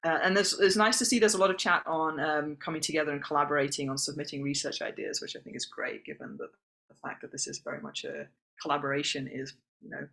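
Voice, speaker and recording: A woman's voice: low-pitched, steady tone, fast, gravelly, a bit bright. A woman hosting an online information session, answering questions in an accommodating, explanatory way. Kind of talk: spoken Q&A answering chat questions. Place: online video call.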